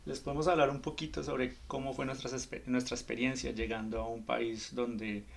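Gravelly, even-toned Colombian man hosting a podcast. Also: mid-range voice, normal speed. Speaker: podcast co-host. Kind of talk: casual podcast conversation.

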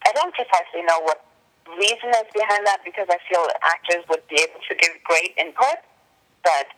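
A woman's voice: lowish voice, brisk, a bit bright, low-quality recording. A woman whose first language is German, an actress and tutor, answering interview questions in an earnest, sensitive way with long flowing sentences.

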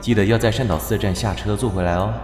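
An actor playing a sweet, attentive young male suitor in a radio drama, friendly and gently teasing, with a Taiwanese Mandarin accent. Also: lowish voice, fairly even intonation, fast talker, over music, close to an everyday voice. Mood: helpful.

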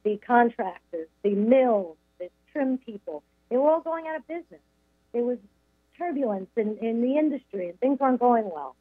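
A woman's voice: high voice, dry recording. A middle-aged woman with a New York accent, a radio guest chattily telling her life story with frequent ums. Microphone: phone call. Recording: tinny phone line.

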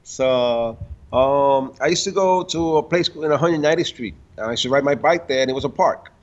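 Halting older man, muffled lo-fi sound. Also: medium pitch. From Dominican Republic, raised in Puerto Rico. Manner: halting, many restarts, laughs between stories. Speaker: guest, car builder and tuner. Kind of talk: casual interview storytelling. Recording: dull lo-fi sound.

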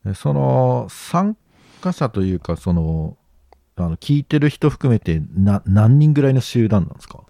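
A man's voice: mid-range voice, very animated, normal speed, creaky, dark tone, dry recording, clean studio sound. A man talking casually as a speaker on a podcast. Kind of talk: casual chat.

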